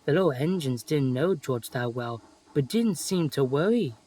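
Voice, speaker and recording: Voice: mid-range voice, expressive, normal speed, dark tone. A narrator reading a scripted children's story, with music playing behind.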